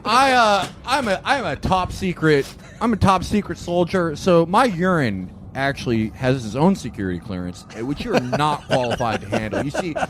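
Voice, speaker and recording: A man playing a bumbling, blustering fake military officer in a tabletop game, with halting bravado. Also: fairly high pitch, sing-song, crowd noise. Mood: bluffing.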